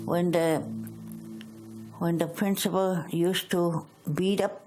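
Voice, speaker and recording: A woman in her seventies from Canada, recalling her past in an interview with hesitant pauses. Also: on the low side, steady tone, warm tone, faint music behind. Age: 70s. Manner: halting, plain-spoken. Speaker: residential school survivor. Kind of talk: interview testimony.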